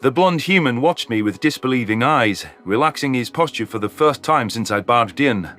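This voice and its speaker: Man, mid-range pitch, scripted first-person narrator, audio storytelling, soft music behind. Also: expressive, moderate pace. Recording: music under the voice.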